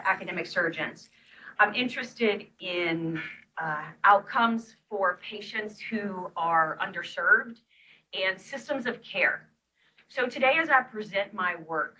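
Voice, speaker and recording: A woman's voice: lowish voice, pushed and bright, recorded from a distance, rough recording. A middle-aged woman from East Texas giving a grand rounds lecture as a vascular surgeon, chatty and storytelling, with self-deprecating asides. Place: lecture hall.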